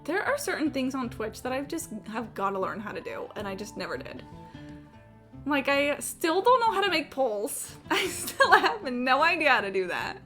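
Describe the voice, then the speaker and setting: A woman's voice: sits high, very animated, moderate pace, sharp tone. A woman giving casual live game-stream commentary in a sarcastic, exasperated, sweary manner. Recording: game music behind.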